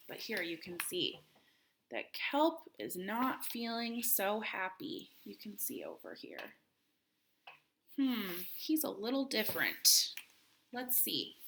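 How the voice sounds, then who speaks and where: A woman's voice: medium pitch, a bit bright, a little hiss or hum. A woman teacher doing a read-aloud for young children, talking to them directly with questions and prompts. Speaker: primary school teacher.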